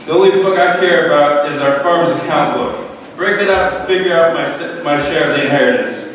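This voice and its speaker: A man plays a restless, defiant young son with a fairly high voice and a dismissive, sarcastic edge. It is stage drama, heard at a distance in a heavily echoing hall. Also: fairly quick, fairly bright tone, close to an everyday voice. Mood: scornful. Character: impatient, insolent, rebellious younger son. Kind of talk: dramatic reading of a parable. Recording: echoey hall, distant mic, faint noise.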